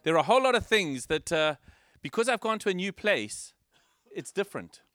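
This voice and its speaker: Male preacher, Zimbabwean accent, higher-than-average pitch, informal explanatory sermon delivery, close dry sound. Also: big pitch swings, fairly quick, fairly bright tone, dry recording. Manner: explanatory, informal, with rhetorical questions. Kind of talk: conversational teaching sermon.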